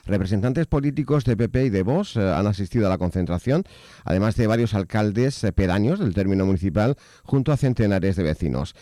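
A male news reporter with an accent from Spain reads a scripted report at a quick pace. His voice is low and mellow. The recording is close and dry. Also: lively intonation, no room sound.

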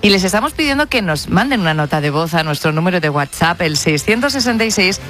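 A woman's voice: on the low side, expressive, fast talker, a bit bright, soft background music. A woman with an accent from Spain hosting a radio talk show in a conversational style.

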